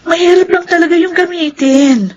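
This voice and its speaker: An actress with a Filipino accent playing a patient, practical student older sister in a radio drama, conversational and mildly exasperated. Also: female voice, very high voice, normal speed, a little mellow, muffled recording. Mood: reasoning.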